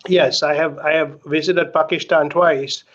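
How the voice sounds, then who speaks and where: A middle-aged man from Sri Lanka speaks earnestly as an interview guest, often tagging his points with "right?". His voice sits somewhat high. The video call audio is dull and muffled. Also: fairly even intonation, measured pace, a bit bright. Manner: earnest, keeps adding "right?" to check in. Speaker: author and guest. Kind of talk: candid conversational interview talk.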